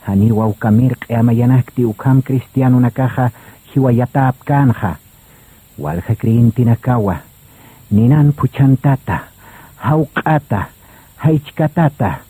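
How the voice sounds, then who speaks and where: Solemn guy narrating radio drama, husky n mellow. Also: medium pitch, slow pace, pauses often, no echo, rough recording.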